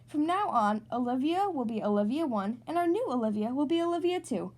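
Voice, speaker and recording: A brisk, cheerful schoolteacher put on by a woman reader from a children's storybook. She instructs her class in a high voice with very lively intonation. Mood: instructive.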